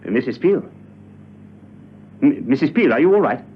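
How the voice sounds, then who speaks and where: An actor playing a nervy, stammering agent close to panic in a vintage radio drama. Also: male voice, medium pitch, sing-song, moderate pace, lightly acted. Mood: alarmed. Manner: stammering, hesitant. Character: nervy, alarmed male agent, near panic. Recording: dull, hissy old radio recording.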